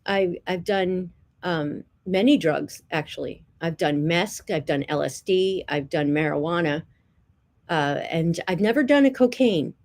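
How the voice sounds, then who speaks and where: A middle-aged woman from New Jersey, speaking to the camera in an earnest, rambling way. She gives a casual testimony, repeating words and filling pauses with "um." Her voice sits on the low side. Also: animated, darkish tone. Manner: earnest, chatty, repeats herself with many "um"s. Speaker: vlogger.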